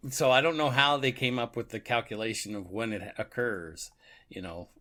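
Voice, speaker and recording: A man's voice: medium pitch, fairly even intonation, normal speed, pushed and bright. A man co-hosting a podcast, casually reflecting and thinking aloud with a chuckly manner. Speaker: podcast co-host. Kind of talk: casual book and radio drama discussion.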